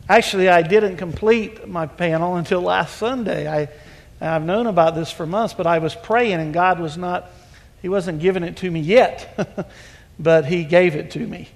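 High-voiced pastor in a reverberant church. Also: male voice, moderate pace, gravelly. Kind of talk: sermon panel hosting. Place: church sanctuary. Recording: hall reverb.

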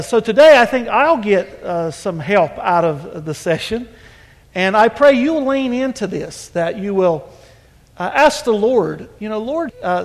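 A man leading a church service and hosting a panel, talking in a relaxed, folksy way with a chuckle. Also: high voice. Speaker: pastor. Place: church sanctuary. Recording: hall reverb.

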